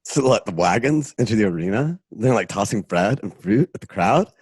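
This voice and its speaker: A man's voice: on the low side, lively intonation, moderate pace, darkish tone, slightly rough, no room sound. A man narrating a story in a casual, laid-back slacker way, improvising with lots of filler words. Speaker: narrator of an online radio novela. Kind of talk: improvised casual retelling of a film script.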